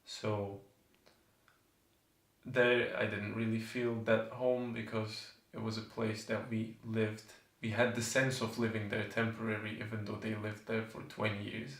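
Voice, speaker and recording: A man's voice: lowish voice, monotone, unhurried, a bit bright, reverberant. A man in his twenties from southern Turkey, with a Turkish accent, speaking reflectively in an interview and hesitantly searching for words. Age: 20s. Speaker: student, documentary subject.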